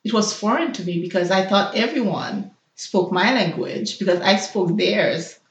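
A woman's voice: mid-range voice, animated, moderate pace, reverberant, lo-fi sound. A young woman from Haiti whose first language is Haitian Creole, speaking as a nurse and interview guest, thoughtful and hesitant, with many fillers. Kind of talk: personal interview.

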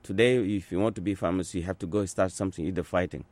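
A man in his sixties from Sudan, an Azande speaker talking in English, answering interview questions in an earnest, unhurried way with frequent pauses and restarts. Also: deep voice, darkish tone, dry recording. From South Sudan. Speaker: interviewee, translator. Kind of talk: conversational interview.

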